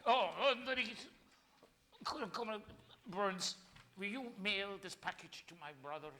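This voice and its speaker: Man acting muddled Boris, very sharp high voice. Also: expressive, measured pace, slight background noise, heavily acted. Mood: earnest, muddled. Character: Boris, earnest muddled man mangling words. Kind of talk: old-time radio comedy stage acting.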